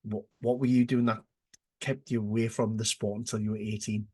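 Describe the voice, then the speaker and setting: A man hosts a casual podcast with a slightly husky, breathy voice. He reacts eagerly to his guest and often trips over his words and restarts before landing his question. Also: on the low side, little pitch movement, a little fast, a little mellow. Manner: enthusiastic, stumbling restarts, lots of "wow". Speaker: podcast host.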